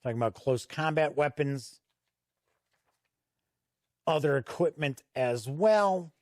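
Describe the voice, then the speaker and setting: A man with a slightly high voice reviews a tabletop game as he pages through it. He explains things in a conversational, unscripted way, and the recording is dry and close, with little room sound. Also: expressive, takes it slowly, a little mellow, dry recording. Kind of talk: unscripted review commentary while paging through a product.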